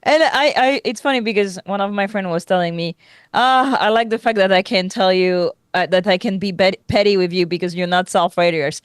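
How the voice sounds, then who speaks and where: A young adult woman whose first language is French, co-hosting a podcast in casual chat, animated, laughing often and restarting sentences. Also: medium pitch, brisk, clean tone, no room sound, studio quality. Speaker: podcast co-host.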